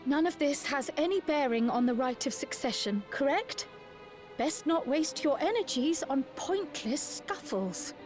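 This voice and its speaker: A woman playing a composed, inquisitive young scholar-adventurer in a video game, polite and measured. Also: high voice, expressive, music in the background. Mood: cool.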